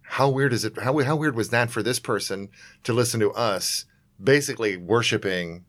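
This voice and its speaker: A man's voice: medium pitch, normal speed, a bit bright. A man co-hosting a podcast, rambling with many fillers and laughing asides in casual chat. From Portland, Oregon. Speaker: podcast co-host.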